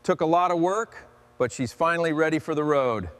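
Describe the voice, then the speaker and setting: Man narrating in long hall reverb, higher-pitched. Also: brisk, a bit bright. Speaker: host narrating a motorcycle restoration. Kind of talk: scripted narration, calm workshop commentary.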